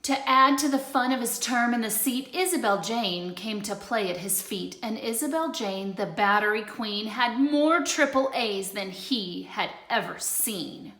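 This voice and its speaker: A woman teacher reading a rhyming picture book aloud, expressive, moving between loud lines and hushed ones. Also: on the high side, measured pace, hardly any pauses. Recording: roomy echo.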